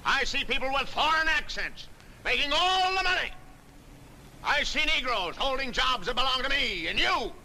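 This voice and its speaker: Brief: a fiery soapbox agitator, high piercing voice swinging wildly, 1940s film. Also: male voice, lively intonation, normal speed, a little hiss or hum, heavily acted, rough recording. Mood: ranting. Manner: shouting, fervent, rabble-rousing. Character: ranting male street demagogue, fiery.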